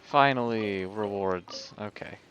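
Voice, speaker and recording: Low-voiced, unhurried male game streamer. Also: fairly bright tone, faint music behind. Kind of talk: casual live game commentary. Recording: dull, noisy stream microphone.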